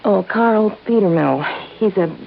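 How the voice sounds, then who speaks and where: Anxious young woman, creaky voice. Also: medium pitch, lively intonation. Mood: worried, earnest. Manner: halting, earnest, breaking off mid-thought. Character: anxious, hesitant young woman worried for her aunt. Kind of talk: old time radio drama acting. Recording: dull, faintly hissy old radio recording.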